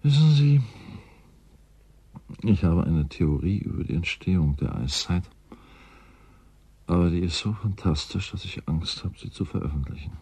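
A man's voice: sits low, lively intonation, normal speed, pauses often, mellow, husky, no echo, exaggerated voice. An actor playing a wry, courteous male scientist in a radio drama, absorbed in his own theories and speaking thoughtfully. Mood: sleepy, relaxed. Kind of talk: German radio drama acting, naturalistic dialogue.

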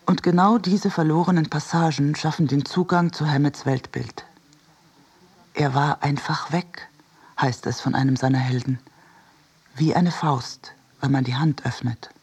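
A man reading as a reflective, wry essayist in a measured, precise literary radio narration. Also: on the high side, steady tone, a little mellow. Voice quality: husky, creaky. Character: reflective essayist and cinema-goer, wryly critical.

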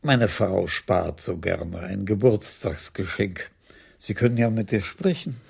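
Wily aging police chief, gravelly and husky. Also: male voice, low voice, unhurried, a little mellow, exaggerated voice. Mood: dry, evasive. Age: 60s. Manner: dry, evasive, teasing. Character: wily, unflappable, impish aging police commissaris. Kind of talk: German radio crime drama acting.

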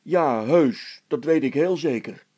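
A man voicing a blunt, down-to-earth, dry-witted fellow in a story, matter-of-fact and deadpan. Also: on the high side, slow pace, breathy edge, no room sound. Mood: insistent.

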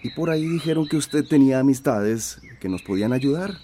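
Actor as a swooping, bashful, courtly village suitor. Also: male voice, mid-range voice, animated, fairly quick, dark tone, natural voice. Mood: bashful, flattering. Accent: Central American. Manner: hesitant, throat-clearing, ingratiating. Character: shy but persistent male village suitor, courtly and flattering. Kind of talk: radio drama acting. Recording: faint outdoor ambience noise.

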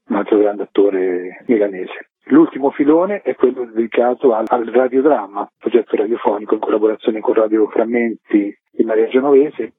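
A husky-voiced man speaks as an interview guest over a telephone line with a noticeable echo. The sound is narrow and boxy. He explains things at length as a festival co-director, in flowing, detailed sentences. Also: medium pitch. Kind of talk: radio phone interview, explanatory rundown.

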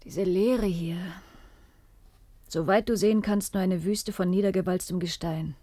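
A woman's voice: fairly low pitch, fairly even intonation, a little slow, warm tone, no room sound. A woman playing a sceptical, bitter and uneasy adult daughter in a radio play, with a brooding, drily sardonic manner.

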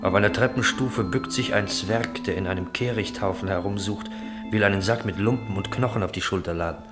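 Narrator for radio drama: man, low register, measured literary reading, music clearly behind. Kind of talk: scripted radio play narration.

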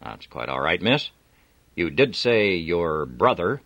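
A man voicing a gruff, formal middle-aged ship's captain in an audiobook. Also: sits low, sing-song, slow pace, fairly bright tone, no echo, highs cut off, full character voice.

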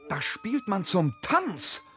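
An actor playing a dreamy, boastful, restless peasant lad in a radio play, with rough voice and declamatory delivery that swings from brooding murmur to exuberant cries. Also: male voice, mid-range voice, lively intonation, unhurried, fairly bright tone, soft background music. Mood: exhilarated. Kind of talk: theatrical radio drama acting in verse.

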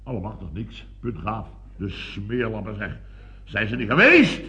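An actor playing a blustering, self-important company boss in a radio comedy serial, sputtering, exclaiming and repeating himself pompously. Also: male voice, lowish voice, sing-song, measured pace, bright, forceful tone, full character voice. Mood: indignant. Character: blustering, excitable, self-important male boss of building firm. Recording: dull band-limited old radio recording.

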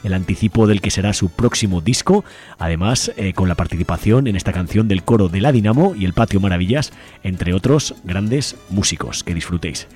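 Male community radio presenter, Spain accent, lower-pitched voice over a light music bed. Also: expressive, brisk, darkish tone. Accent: Spanish from Spain. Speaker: radio host.

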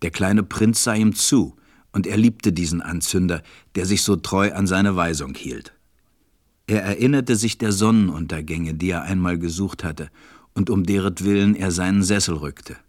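A man's voice: low voice, normal speed, no echo, clean studio sound. A man narrating a storybook audio drama from a script.

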